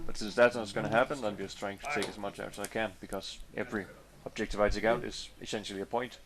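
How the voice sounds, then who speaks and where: A Danish man whose first language is Danish speaks English with a Danish accent, commentating on a card game he played. He talks in a fairly low voice. He is chatty and loose, often hesitating and restarting his phrases as he works out what to say. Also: steady tone, quick pace, crowd noise. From Denmark. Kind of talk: casual commentary over match footage.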